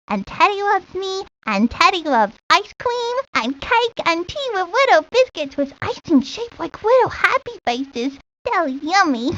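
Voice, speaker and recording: A woman's voice: very high voice, a bit bright, dry recording, full character voice. A woman voicing a sweet, doting little preschool child in a cartoon game. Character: sweet, doting tiny girl with teddy bear. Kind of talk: cartoon game character lines. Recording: dull lo-fi old game audio.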